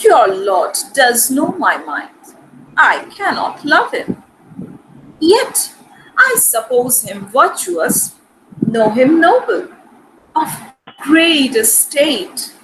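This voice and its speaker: A woman acting a proud, sharp-witted noble lady in a Shakespearean dramatic reading, with an arch, haughty manner. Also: high voice, sing-song, drawn-out words, slight background noise, full character voice, rough recording. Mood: proud, firm.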